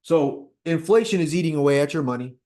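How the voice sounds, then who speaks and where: A man of fifty talking casually about personal finance as an investor, animated, repeating points and checking in with "right?". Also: fairly high pitch, fairly quick. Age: 50s. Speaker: real estate investor.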